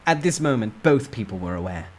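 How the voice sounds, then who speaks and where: A man's voice: lowish voice, animated, fairly quick, a little hiss or hum. A man reading a novel aloud as a dramatic first-person narrator.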